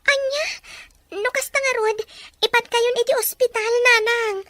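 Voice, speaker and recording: A woman's voice: extremely high pitch, steady tone, normal speed, bright, forceful tone, slight background noise. A woman acting a dutiful young daughter in an Ilocano radio drama, earnest, respectful and pleading. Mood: alarmed. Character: dutiful young daughter, devoted to her ailing mother.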